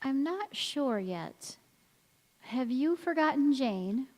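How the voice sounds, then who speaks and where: A woman playing a strange, self-possessed young woman in a radio drama, speaking earnestly and deliberately. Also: high voice, animated, darkish tone. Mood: coolly enigmatic. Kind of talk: stage radio drama acting. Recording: echoey church hall.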